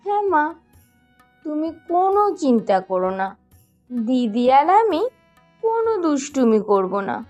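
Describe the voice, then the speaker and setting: A gullible, obedient little girl from a cartoon , played by a woman. She speaks in a very high, lilting voice, always eager to please. Faint music plays behind her. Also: big pitch swings, a little slow, pauses often, darkish tone. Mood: innocent, obedient. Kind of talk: cartoon voice acting in a moral tale.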